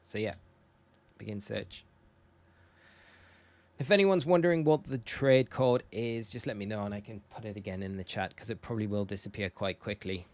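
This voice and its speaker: A man's voice: fairly low pitch, moderate pace. A man from northeast England with a northern English accent, chatting casually and informally to viewers as a live game streamer. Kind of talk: casual livestream chat while gaming.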